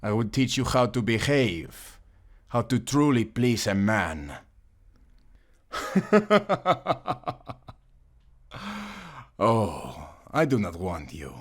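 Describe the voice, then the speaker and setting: A man's voice: lowish voice, big pitch swings, extremely slow, pauses often, full character voice. A man playing a cruel, vengeful exiled prince in an audio drama, taunting and sneering theatrically, with music behind him. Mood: contemptuous. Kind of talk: dramatic audio roleplay acting.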